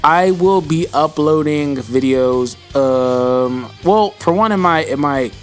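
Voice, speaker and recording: Young dude doing a q&a, voice going a bit hoarse, rambly, says bro a lot, faint music behind. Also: male voice, fairly high pitch, slow. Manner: rambling and slangy, calls viewers bro. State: losing his voice. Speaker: YouTuber answering viewer questions. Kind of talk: casual Q&A vlog.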